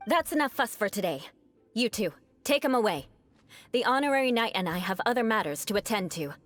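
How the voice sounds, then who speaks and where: A woman voicing a proud young noblewoman knight in a video game, composed and defiant, with formal, measured, coolly disdainful delivery. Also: on the high side, animated, no room sound, faint music behind, natural voice. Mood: businesslike.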